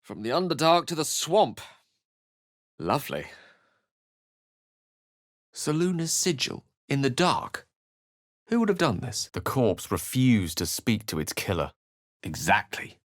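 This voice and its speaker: A man's voice: mid-range voice, very animated, measured pace, pauses often, no room sound, clean studio sound. A man voicing a learned, eloquent wizard adventurer in a video game, with an English accent and a theatrical, articulate delivery. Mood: dry, wry. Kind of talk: dramatic scripted game dialogue and narration.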